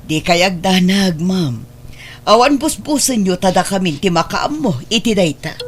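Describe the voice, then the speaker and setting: A woman's voice: medium pitch, lively intonation, measured pace, faint music behind, close to an everyday voice. A woman with an Ilocano accent playing an earnest, persuasive character in a family drama. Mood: encouraging. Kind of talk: Ilocano radio-style family drama acting.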